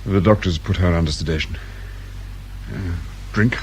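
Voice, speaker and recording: Low-pitched, troubled English father played by a man. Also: normal speed, a little mellow, dull audio, natural voice. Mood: subdued grief. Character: distraught father of a kidnapped boy, tense, bitter. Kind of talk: naturalistic British radio drama acting. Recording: background noise and room ambience.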